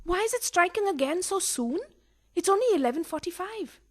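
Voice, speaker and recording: Woman playing a very high-voiced melodramatic mistress. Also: lively intonation, fairly quick, heavy background noise. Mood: coolly practical. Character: dramatic, anxious mistress with a coolly practical streak. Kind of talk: farcical radio play acting.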